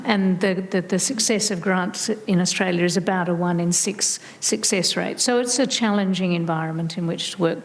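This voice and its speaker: A woman moderating a community question-and-answer panel, steering the talk in a friendly way. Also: medium pitch. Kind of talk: hosting a community Q&A. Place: large hall. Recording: hall reverb, faint noise.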